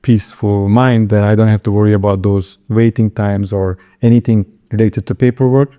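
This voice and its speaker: A man with a Turkish accent giving informal interview answers, halting and restarting his words as he goes. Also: on the low side, steady tone, mellow, smooth. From Istanbul, Turkey. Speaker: interview guest, entrepreneur.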